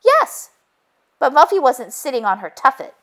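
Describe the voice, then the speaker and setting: Woman voicing a flustered, excitable storybook hen. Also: high voice, normal speed, voice pushed up, full character voice. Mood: puzzled. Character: flustered, tender-hearted female chicken, a storybook witness.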